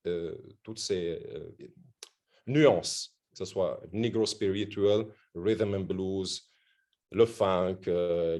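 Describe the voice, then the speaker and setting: Man talking music, mid-pitched. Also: darkish tone. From Morocco. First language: Arabic. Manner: reflective, anecdotal, searching for words. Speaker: musician on a panel. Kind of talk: round-table talk.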